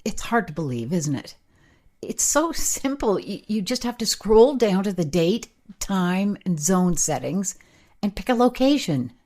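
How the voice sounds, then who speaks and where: Female actor as excitable camera operator, naturalistic radio play. Casual, emphatic, mid-pitched, very animated. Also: a little slow. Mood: amazed.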